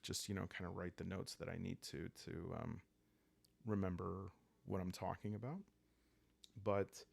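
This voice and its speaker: A man talking calmly and softly as host of a relaxing solo roleplaying playthrough, thinking aloud with hesitant pauses. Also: sits low, creaky, no echo. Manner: calm, soft-spoken, hesitant pauses while thinking aloud. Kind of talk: calm, rambling sleep-aid game walkthrough.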